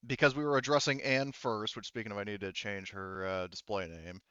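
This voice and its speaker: A man's voice: fairly low pitch, fairly even intonation, a little fast, sharp tone, smooth, no echo, lo-fi sound. A man casually refereeing and narrating a tabletop roleplaying game as its game master.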